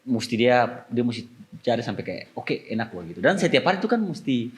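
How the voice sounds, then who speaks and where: An Ambonese-accented man chats as a podcast host with a lively, widely moving pitch. He jokes and rambles, restarting his sentences often. His voice sits in a middle register. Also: fairly quick. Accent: Ambonese Malay. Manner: joking, rambling, lots of restarts. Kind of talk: casual chat.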